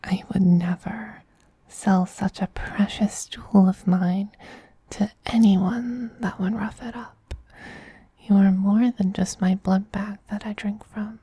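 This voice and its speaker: Man voicing a breathy, whispering femboy vampire queen. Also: sits high, fairly even intonation, dark tone, no room sound, heavily acted. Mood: possessive, tender. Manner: slow, condescending, doting. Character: dominant, possessive, tender femboy vampire queen soothing a pet. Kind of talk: soft-spoken ASMR roleplay.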